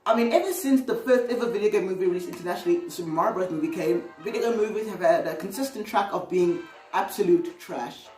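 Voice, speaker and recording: A woman's voice: medium pitch, fast, darkish tone. Roomy, distant woman giving opinionated commentary. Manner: conversational, rambling, exclamatory. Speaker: video host. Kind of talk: casual opinionated video commentary. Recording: roomy, distant mic, music underneath. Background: music.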